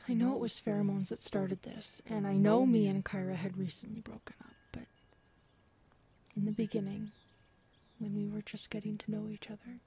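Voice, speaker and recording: A woman playing a big, earnest predatory alien woman in an intimate audio roleplay, soft and hesitant, often murmuring. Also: mid-range voice, steady tone, normal speed, a little hiss or hum, close to an everyday voice. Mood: tender, uncertain. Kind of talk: audio roleplay acting, addressed to listener.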